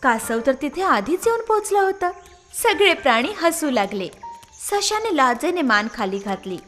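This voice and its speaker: A woman's voice: high-pitched, very animated, moderate pace, fairly bright tone, faint music behind. A woman narrating scripted moral stories for children as a storyteller.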